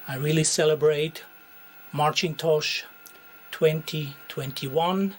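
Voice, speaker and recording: Slow-spoken Swiss man with a Swiss German accent. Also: on the high side, a bit bright, a little hiss or hum. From Switzerland. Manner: slow, deliberate, pausing often. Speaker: retro computer collector. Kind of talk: show-and-tell narration.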